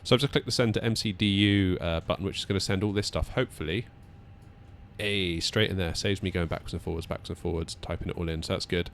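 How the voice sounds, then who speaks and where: A man with a low voice hosts a flight simulator session in casual commentary. He chats and thinks aloud as he goes, with faint background noise under his talk. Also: quick pace. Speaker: flight simulator video host.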